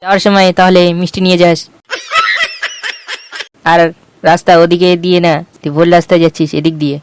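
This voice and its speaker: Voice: mid-range voice, fairly even intonation, fairly quick, shouting, a little hiss or hum, natural voice. An actor voicing a brash, suspicious, nosy village passer-by in a comedy cartoon.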